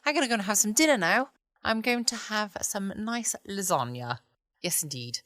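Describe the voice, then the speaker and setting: A woman's voice: mid-range voice, expressive, moderate pace, a bit bright, no room sound, clean studio sound. A woman hosting a bedtime podcast, chatting casually to listeners. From United Kingdom. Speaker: podcast host. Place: at home, indoors.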